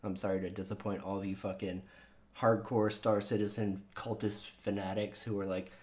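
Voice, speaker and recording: A man's voice: lowish voice, level tone, normal speed, darkish tone. A man hosting his own channel in casual chat with viewers, rambling and swearing with lots of fillers, his voice sounding younger than he is. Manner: rambling and profane, with many fillers. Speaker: channel host.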